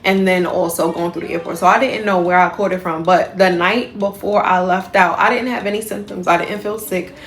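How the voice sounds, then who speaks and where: A woman's voice: lowish voice, fairly even intonation, brisk, few pauses. A woman travel nurse vlogging from a hotel room, talking in a rambling, chatty way full of fillers. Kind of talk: casual vlog diary. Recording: music playing under the voice.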